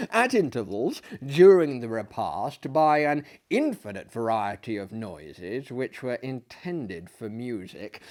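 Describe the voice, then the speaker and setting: A man in his thirties giving a theatrical literary reading in a hammy, mannered way, with deliberate phrasing and dramatic swells and drops. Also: mid-range voice, darkish tone. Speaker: dramatic reader and storyteller. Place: small book-lined room indoors, seated in an armchair.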